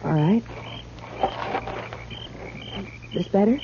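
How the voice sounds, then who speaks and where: An actress playing an evasive young woman of the Old West in a 1950s radio western, nervous and halting, on an old recording. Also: mid-range voice, dull audio, noisy background, natural voice. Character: uneasy, evasive young woman of a frontier town.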